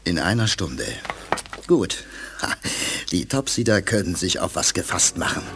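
A combative space officer in a science fiction radio play. He has a lowish voice and a blunt, grumbling, impatient way of talking, with faint noise behind him. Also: very animated, measured pace, full character voice. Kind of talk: German audio drama acting.

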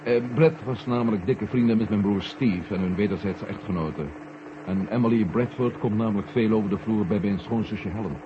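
An actor playing a keen, respectful amateur sleuth with a hesitant, probing manner in a 1970 Dutch radio drama. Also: male voice, on the low side, fairly quick, lightly acted. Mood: thoughtful. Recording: muffled, noisy 1970 radio recording.

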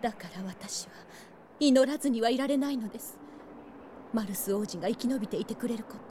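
An actress playing a noble, earnest young princess burdened by duty in a fantasy audio drama, poised, polite and solemn. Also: female voice, a little high, brisk, mellow, slight background noise, lightly acted. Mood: earnest hope.

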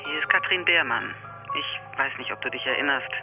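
A woman's voice: a bass voice, a little fast, dull audio, over music. An actress playing an insistent federal policewoman calling a colleague in a radio crime drama. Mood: urgent.

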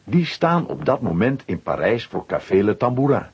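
A man with a husky voice narrating a children's audio story from a script. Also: mid-range voice, sing-song, normal speed, darkish tone, dull audio.

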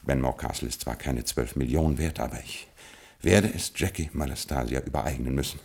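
An actor playing a ruined, absent-minded Scottish lord in a radio play, courtly and resigned, with formal understatement. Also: male voice, rumbling low, fairly quick, a little mellow, full character voice. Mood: resigned, dry. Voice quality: gravelly, husky. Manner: formal, understated, sometimes stammering and groping for words. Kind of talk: 1960s German radio play acting.

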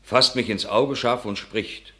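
A man's voice: medium pitch, a little slow, sharp tone. An actor playing an earnest country man who recounts grim war news with dramatic force in classic radio drama verse. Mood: recounting.

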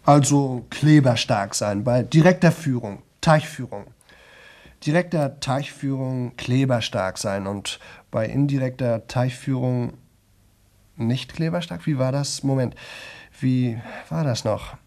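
A man's voice: mid-range voice, slow, a little mellow. An actor playing a chatty young man who rambles nonstop to an audience in a radio play, colloquial, digressive and often interrupting himself. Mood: muddled, searching. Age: young adult. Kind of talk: 1970 German radio drama monologue.

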